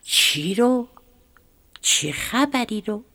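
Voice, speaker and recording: A woman voicing a calm, clever plump rooster in a children's storybook tale, speaking in an unhurried, cool way. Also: fairly high pitch, a little hiss or hum.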